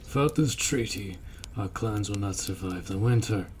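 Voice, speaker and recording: A man's voice: on the low side, darkish tone, slight background noise, natural voice. A man playing a stern, honorable orc warrior commander in a fantasy audio roleplay, speaking in a formal, commanding way. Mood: solemn, resolute. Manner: formal, commanding, measured delivery.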